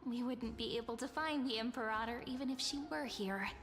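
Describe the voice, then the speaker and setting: A woman's voice: on the high side, brisk, close to an everyday voice. A woman voicing a polite, earnest young female traveler in a video game. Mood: polite, puzzled.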